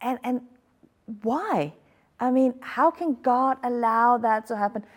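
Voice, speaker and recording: A woman's voice: a little high, slow. Woman hosting a thoughtful panel discussion. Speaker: talk show host.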